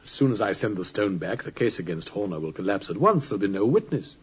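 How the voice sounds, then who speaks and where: An actor playing a shrewd, commanding British gentleman detective in an old radio drama, precise and theatrical. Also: on the low side, very animated, fast talker, breathy edge, heavily acted. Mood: reflective, warm. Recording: muffled vintage radio, music behind.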